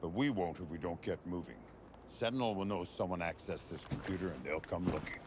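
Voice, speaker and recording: An actor playing a gruff, terse middle-aged special agent with a deep, gravelly voice in a video game cutscene. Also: male voice, brisk, lightly acted. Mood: grimly resolute. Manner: terse, commanding. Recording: loud hiss and rain noise.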